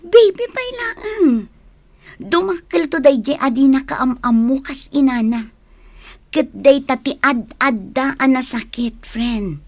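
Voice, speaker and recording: A woman's voice: sits high, expressive, slow, no echo, heavily acted. An actress playing a woman who scolds and advises her best friend in a radio drama. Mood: chiding. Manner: chiding, advising.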